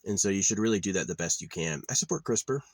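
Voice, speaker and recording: Young man, very low voice, meandering casual delivery, dry close sound. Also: lively intonation, quick pace, dry recording. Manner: meandering, offhand, trailing off with filler. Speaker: vlogger. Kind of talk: rambling casual monologue. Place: outdoors at a quiet wooded campsite.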